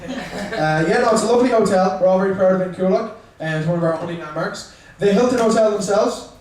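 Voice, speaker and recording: A young man with a Dublin accent doing stand-up in a small bar, chatty and self-mocking as he teases the crowd. His voice sits fairly high for a man. It comes from a distance and rings around a very echoey room. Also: fast. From Ireland. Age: young adult. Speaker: stand-up comedian. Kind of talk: stand-up comedy set. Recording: echoey room, mic far off.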